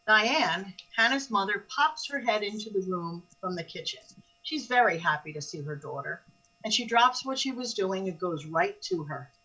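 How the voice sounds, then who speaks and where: A woman narrator reads scripted stage directions for a radio drama. Her voice is medium in pitch and husky. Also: expressive, moderate pace, bright, forceful tone, rough recording.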